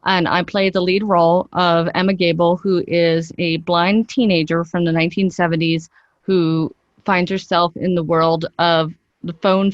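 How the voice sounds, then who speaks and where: Low-pitched woman chatting as interview guest. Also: no echo, highs cut off. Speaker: interview guest, actress and voiceover artist. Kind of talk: conversational interview.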